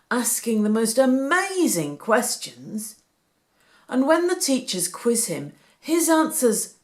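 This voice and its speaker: A woman's voice: on the high side, pitched above the performer's own voice. A woman reading a storybook, voicing a kindly, amused male temple priest with an emphatic delivery.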